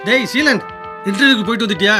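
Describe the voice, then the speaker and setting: A man's voice: sits high, racing, music in the background, close to an everyday voice. A man playing an earnest, nagging young friend in a Tamil radio drama, casual and insistent. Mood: concerned, insistent.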